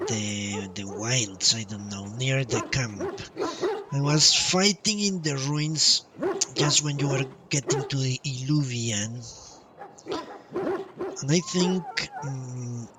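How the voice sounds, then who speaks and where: A man doing casual live game commentary, thinking aloud in a halting way as he streams. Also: medium pitch, background noise. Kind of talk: casual gameplay commentary and chat. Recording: distant mic with game music behind. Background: game music.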